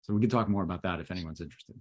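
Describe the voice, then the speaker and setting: Man, low voice, measured, explanatory panelist. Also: a little mellow. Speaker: panelist, author. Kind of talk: panel talk.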